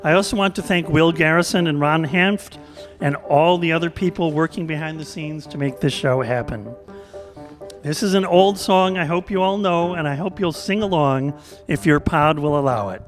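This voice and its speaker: A man's voice: on the high side, moderate pace, soft background music. A man hosting a radio theater show, giving casual, jokey thanks to performers and audience.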